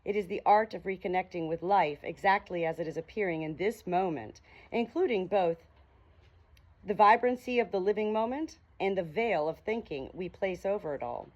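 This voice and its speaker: A woman reading aloud informally with casual asides, speaking in an unhurried way outdoors on a small farm. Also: fairly low pitch, a little mellow, highs cut off. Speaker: audiobook reader and small hobby farm keeper. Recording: noisy outdoor ambience with farm animals. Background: farm animal sounds, ambient noise.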